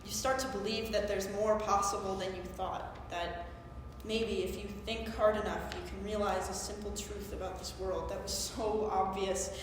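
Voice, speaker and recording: A young woman on stage playing an earnest, geeky woman obsessed with physics in an animated, wistful monologue. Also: medium pitch, normal speed, few pauses, close to an everyday voice. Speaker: actor in a staged play reading. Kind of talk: theatrical monologue, explanatory. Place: live staged reading at a conference. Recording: very echoey hall, faint noise.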